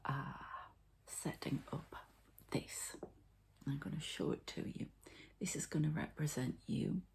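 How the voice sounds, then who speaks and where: A woman hosting a whispered ASMR healing session, speaking in a soft, breathy, hesitant and meandering way. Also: deep voice. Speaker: host, spiritual healer.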